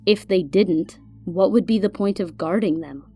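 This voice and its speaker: Actress playing a calm older lady guide, mellow voice, matter of fact, audio drama with soft music behind. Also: medium pitch, moderate pace, slightly rough, no room sound, natural voice. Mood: calm, reasoning. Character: composed, reasoning older woman guide.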